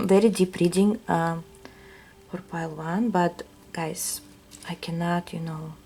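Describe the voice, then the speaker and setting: Russian-accented woman, low gravelly voice, soft hesitant tarot-reading delivery. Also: steady tone, slow pace. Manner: soft-spoken, hesitant, often drops to a whisper. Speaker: tarot reader. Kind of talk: intuitive reading to viewers.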